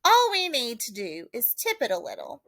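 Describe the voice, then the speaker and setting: A woman's voice: fairly high pitch, wildly swooping pitch, normal speed, a bit bright, no echo, clean studio sound, heavily acted. A woman playing a sugary, bossy busybody mother. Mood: bossy, concerned.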